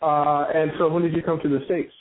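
A man hosting an interview show, talking haltingly with many fillers and compliments for his guest. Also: a little high, little pitch movement. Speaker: show host. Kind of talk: interview on a call-in style show. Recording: tinny phone-line sound with faint noise.

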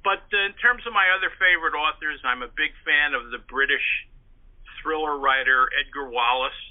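A man's voice: on the high side, normal speed. A man in his sixties talking conversationally as an interview guest, chatty, articulate and discursive as he reminisces. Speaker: interview guest, pulp fiction historian and author. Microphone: telephone. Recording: narrow, tinny phone-like line.

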